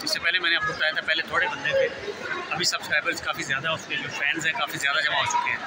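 A man with a Pakistani accent chatting casually to camera as a family vlogger, animated and repeating his words. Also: a little high, rapid-fire. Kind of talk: casual vlog chat to camera. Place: large busy indoor shopping mall. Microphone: phone or camera at arm's length. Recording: noisy crowded mall, loud chatter behind.

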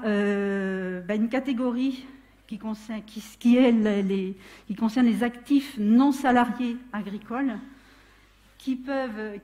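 Middle-aged woman, fairly high and mellow voice, conference speaker at a lectern, explanatory and full of self-corrections. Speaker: training consultant speaking at a trade fair. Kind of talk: conference presentation. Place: large darkened conference hall with audience.

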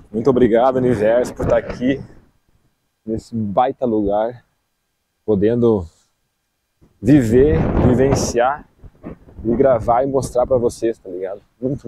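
A man's voice: medium pitch, noisy background. A man in his thirties with a southern Brazilian accent, chatting casually and slangily to the camera outdoors as a travel vlogger. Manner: slangy, informal. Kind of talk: casual vlog chat. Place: outdoors on an open beach and dunes. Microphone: action camera at arm's length.

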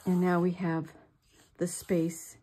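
A woman's voice: lowish voice, monotone, warm tone, slight background noise. An older woman giving a chatty, step-by-step craft demonstration at her work table. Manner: slow, chatty, self-deprecating. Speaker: craft tutorial host.

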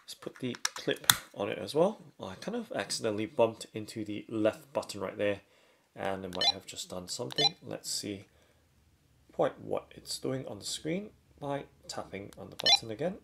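A man with a low, creaky voice reviews a product hands-on. He talks very slowly and casually, going step by step in short, halting phrases. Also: very noisy. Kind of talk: casual unboxing and hands-on demonstration.

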